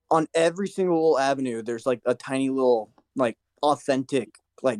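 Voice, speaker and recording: A man's voice: mid-range voice, a little mellow, no room sound. A man from Serbia chatting casually and jokingly as a podcast co-host, with crude, sweary talk. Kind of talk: casual joking group chat.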